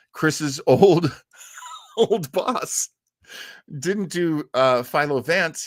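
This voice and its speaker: A man hosting an old-time radio show livestream, chatting casually and enthusiastically in a rambling, halting way full of tangents. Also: mid-range voice, fairly bright tone, dry recording, studio quality.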